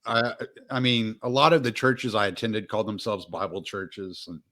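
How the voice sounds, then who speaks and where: A man in his forties hosts a relaxed talk show, his voice on the low side. He rambles easily and chuckles often. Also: moderate pace. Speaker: podcast host. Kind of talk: casual interview conversation.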